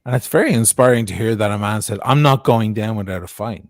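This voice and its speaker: A man talks as a guest on a livestream, earnest and reflective, letting his thoughts ramble. His voice sits low. The sound is dry and close. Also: fast talker, no echo. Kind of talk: casual chat.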